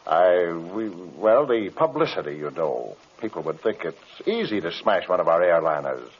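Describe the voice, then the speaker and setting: Husky, anxious radio-drama businessman. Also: low-pitched, sing-song, exaggerated voice. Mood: troubled, earnest. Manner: hesitant, halting businesslike talk. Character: anxious, flustered male airline executive. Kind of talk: old-time radio drama acting. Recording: muffled old radio recording.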